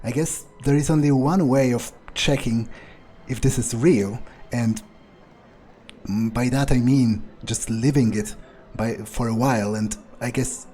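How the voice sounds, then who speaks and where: A man improvising in character as a newly turned vampire in a game, bewildered, irritable and foul-mouthed, muttering in halting, incredulous repetitions with a husky voice. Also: mid-range voice, mellow, faint music behind, lightly acted. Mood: uneasy, bewildered.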